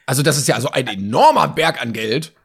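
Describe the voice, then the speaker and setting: A man's voice: medium pitch, big pitch swings, a little fast, creaky, slightly rough. A man co-hosting a casual podcast, adding dry, joking interjections. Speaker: podcast co-host. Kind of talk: casual chat.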